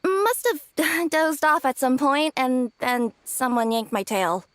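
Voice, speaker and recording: A woman's voice: sits high, takes it slowly, a bit bright, no echo. A woman playing a friendly, easily startled young female cat yokai courier in a video game, polite and bubbly with some hesitation. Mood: chatty, sheepish. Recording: game music behind the voice.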